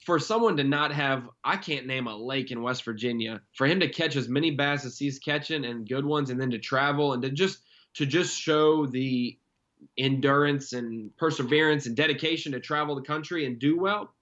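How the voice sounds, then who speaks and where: A man's voice: medium pitch, moderate pace, fairly bright tone. Young male guest, rambling and chatty, dull lo-fi sound. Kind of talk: casual chat.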